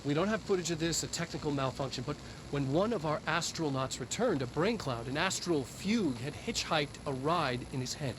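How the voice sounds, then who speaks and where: Man acting game scientist, male, grave, clinical briefing, higher voice, noisy recording. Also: expressive, a little fast, few pauses, lightly acted. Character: male government scientist briefing on a deadly entity. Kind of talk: scripted briefing narration.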